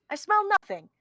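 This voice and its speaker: A conceited criminal mastermind in a theatrical read. The voice sits high and swings widely in pitch, arguing in a pompous, wordy way. The sound is dry and close. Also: male voice, bright, forceful tone, no echo, heavily acted. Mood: smug. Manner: pompous, verbose, argumentative. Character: conceited, scheming criminal mastermind holding a hostage. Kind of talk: theatrical table-read performance.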